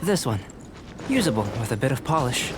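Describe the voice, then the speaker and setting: A man voicing a composed young alchemist in a video game cutscene, speaking in a measured, precise, quietly confident way. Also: mid-range voice, big pitch swings, lightly acted. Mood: calm. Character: calm young male alchemist, composed and scholarly. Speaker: alchemist and researcher. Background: game soundtrack music.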